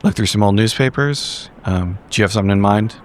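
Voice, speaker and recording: A man playing a blunt, awkwardly friendly female investigator in an improvised tabletop roleplay, talking casually and haltingly. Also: lowish voice, a little hiss or hum, natural voice. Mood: awkward, helpful.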